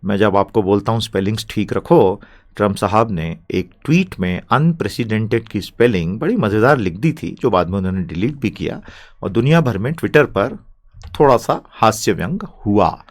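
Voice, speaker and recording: A man with an Indian accent teaching an English lesson, quick and chatty when he explains, slow and deliberate when he reads the English passage aloud. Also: fairly low pitch. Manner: fast animated Hindi explanation, slow careful English reading. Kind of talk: language lesson, reading aloud.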